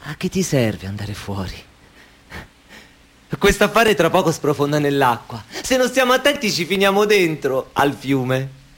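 An actor playing an anxious, controlling man in a radio play, who talks insistently and broods, dropping to a hush and then pressing hard. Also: fairly high pitch, big pitch swings, unhurried. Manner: brooding, insistent, swings between hush and urgency. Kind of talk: Italian radio play acting.

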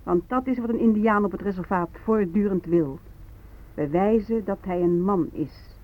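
Male actor as earnest young man, high voice, solemn, melancholy, radio drama, muffled sound. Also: normal speed, close to an everyday voice. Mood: bitter. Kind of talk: Dutch radio play acting. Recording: dull, lo-fi radio recording.

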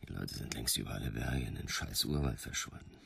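Blunt male friend, radio drama, rock-bottom pitch, raspy, sardonic, scolding, dry studio sound. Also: fairly quick, fairly bright tone, no room sound, exaggerated voice. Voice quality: gravelly, husky. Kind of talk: naturalistic radio drama acting.

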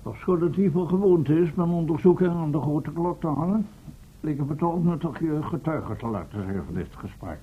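An actor playing an eccentric, self-important professor and inventor in a Dutch radio serial, pompous and often hunting for words. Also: male voice, lively intonation, brisk, breathy edge. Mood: solemn, self-important. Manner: pompous, hesitant, searching for words. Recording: muffled phone-like old radio recording, rumbling noise.

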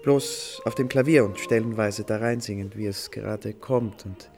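A man playing a modest, courteous composer in a German radio play, speaking politely and a little haltingly. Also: fairly low pitch, a little mellow, soft background music, natural voice. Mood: modest, apologetic. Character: composer and maestro, courteous, modest, obliging host of his music.

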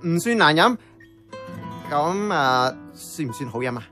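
A man's voice: on the high side, big pitch swings, a little slow, music in the background. An actor with a Hong Kong Cantonese accent playing a casual, blunt young man in radio drama dialogue. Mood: pestering.

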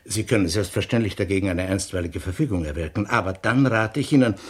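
Police inspector, husky, sardonic, suspicious, deliberate insinuating questions, 1960s German radio thriller. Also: male voice, deep voice. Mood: sardonic, accusing. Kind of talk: 1960s German radio crime drama acting.

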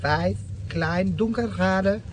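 A man acting in a German radio drama as a flamboyant, excitable, gossipy street hustler in drag, drawling theatrically. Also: high voice, takes it slowly. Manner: theatrical, drawling, peppers talk with Spanish exclamations. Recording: noisy street with vehicle sounds.

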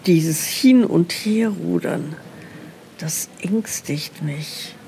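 A woman playing an anxious, forgetful elderly care-home resident in a radio play, hesitant and plaintive, with a creaky voice. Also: on the low side, extremely slow, background noise, heavily acted.

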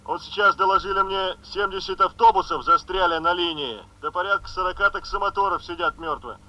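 An actor in a 1970s radio drama playing a brisk emergency headquarters chief, giving clipped reports through a telephone filter. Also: male voice, high voice, bright, forceful tone, highs cut off. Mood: businesslike. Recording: band-limited telephone sound in old radio play.

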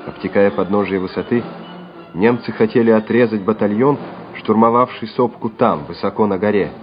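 A man's voice: lowish voice, moderate pace. A man narrating a literary radio play in a dramatic storytelling style. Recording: dull lo-fi radio recording over music.

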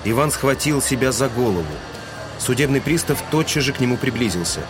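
A man's voice: medium pitch, expressive, fairly quick. A man narrating a literary audio drama in a scripted, dramatic storytelling style. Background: music.

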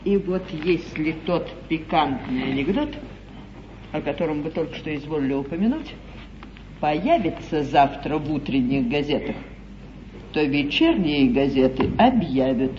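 Haughty, slow-speaking duchess. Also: female voice, sits low, lively intonation, echoey room. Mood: triumphant, ironic. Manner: deliberate, theatrical, ironically polite. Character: haughty, cunning duchess, coolly mocking and triumphant. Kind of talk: classical stage comedy acting. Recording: muffled, hissy 1950s theatre broadcast.

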